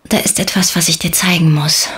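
A woman's voice: on the low side, steady tone, fairly quick, a bit bright, natural voice. An actress playing a playful young fairy woman, shy in love, in an audio drama. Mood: nervous. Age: young adult.

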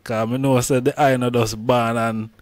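A man's voice: mid-range voice, little pitch movement, normal speed. A man with a Jamaican accent hosting an interview in respectful, casual Rastafarian Patois. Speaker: host.

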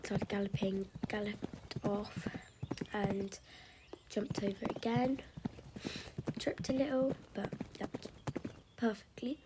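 A woman giving a casual vlog voiceover recap in a soft, breathy, half-whispered voice, hesitant and often trailing off. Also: mid-range voice, slow pace, pauses often, heavy background noise. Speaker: vlogger narrating her own horse riding.

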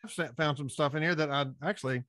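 A man's voice: fairly high pitch, fast talker, fairly bright tone, no echo. Folksy older man hosting, dry sound. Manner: folksy, easygoing, chuckles. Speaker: podcast host. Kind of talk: casual interview hosting. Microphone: podcast mic on stand, video call.

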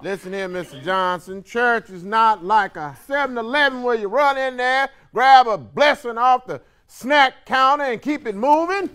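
Folksy TV judge, American, middle-aged, high clear voice, admonishing. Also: male voice, fairly bright tone. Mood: chiding. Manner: slow, admonishing. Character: folksy, chiding male courtroom judge. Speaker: TV court judge. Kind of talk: comedic courtroom show acting. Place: courtroom, seated at the bench.

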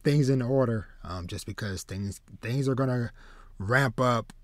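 Halting man chatting on a podcast, dry sound. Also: mid-range voice, no echo. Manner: halting, trailing, repeats words. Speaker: podcast host. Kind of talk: casual podcast chat. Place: small home room with shelves, video call. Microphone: close desk mic.

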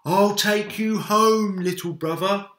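A man voicing a big, gentle, protective polar bear in a storybook, with slow, emphatic delivery. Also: high-pitched, voice pushed up. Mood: urgent, protective. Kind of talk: children's storybook read-aloud. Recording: roomy echo.

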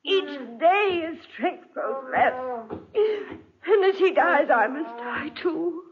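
An actress playing an anguished, terrified wife haunted by guilt in a melodramatic 1940s radio drama. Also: female voice, sits high, lively intonation, slow pace, heavily acted. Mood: tearful begging. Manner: melodramatic, pleading, breathless delivery. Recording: muffled, dull 1940s radio recording.

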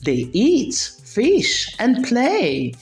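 Lady reading a kids book, super slow n expressive, bit echoey with music behind. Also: fairly high pitch. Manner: expressive, deliberate enunciation for young listeners. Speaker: storyteller. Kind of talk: children's picture book read-aloud. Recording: roomy echo, background music.

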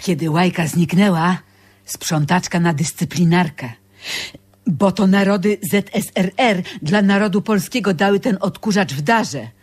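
Earthy gossipy older seamstress. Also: female voice, fairly low pitch, measured pace, slightly rough. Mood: animated storytelling. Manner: colloquial, relishing, animated anecdote telling. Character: earthy older Polish woman, bra-workshop seamstress, gossipy storyteller. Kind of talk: radio drama acting.

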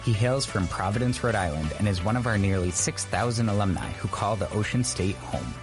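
Low-voiced young man hosting a podcast. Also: fairly quick, over music. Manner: friendly, scripted intro then casual questions. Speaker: guest host. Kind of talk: podcast interviewing.

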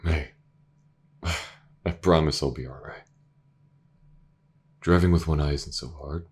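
A man playing a gentle, reassuring detective in an intimate audio roleplay, speaking softly and hesitantly, often near a whisper. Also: a bass voice, fairly even intonation, a little slow, husky, full character voice. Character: gentle, reassuring male detective with an injured eye.

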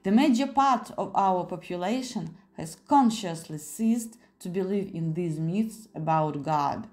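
A woman's voice: fairly low pitch, animated, measured pace, darkish tone. A woman voicing a learned, self-assured male editor with a polite, lecturing manner in an audiobook.

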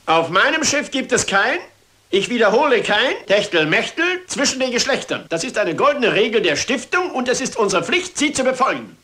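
Blustering, swooping ship's captain. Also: male voice, extremely high pitch, big pitch swings, moderate pace, sharp tone, exaggerated voice. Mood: indignant. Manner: pompous, emphatic, blustering. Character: pompous, blustering male ship's captain, strict and indignant. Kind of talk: German radio crime drama acting.

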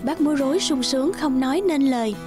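A woman's voice: sits high, fast talker, music in the background. A woman narrating a scripted fairy tale for children.

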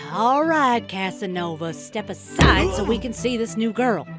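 Woman as gruff strong snow woman, blunt and bossy. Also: medium pitch, lively intonation, slow pace, over music. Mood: dry.

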